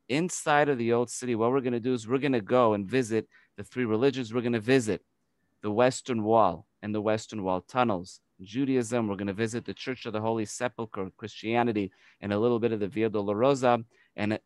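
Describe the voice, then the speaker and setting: A man in his forties with an American accent, a tour guide giving a virtual tour over a video call on a headset, talking quickly with frequent restarts. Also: fairly low pitch, no echo. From United States. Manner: fast, energetic, repeats words when restarting. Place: home by an open window.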